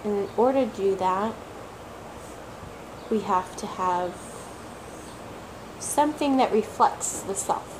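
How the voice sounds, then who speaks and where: A woman for whom English is a third language, talking slowly and reflectively as a tarot reader, repeating words for emphasis and sometimes searching for them. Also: mid-range voice, noisy background. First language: not English; English is her third language. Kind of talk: slow reflective monologue.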